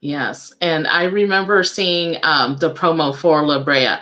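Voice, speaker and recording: A woman with a slightly low voice hosts a relaxed, conversational interview. She is affirming and supportive, pausing and restarting a little as she puts her questions together. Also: highs cut off. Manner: encouraging, affirming, halting when asking questions. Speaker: radio show interviewer, host.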